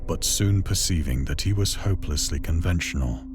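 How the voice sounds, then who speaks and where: An actor playing a somber, haunted storyteller in a horror game, narrating in formal, literary language. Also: male voice, extremely low pitch, moderate pace, music in the background. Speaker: narrator of a horror visual novel. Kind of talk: dramatic first-person story narration.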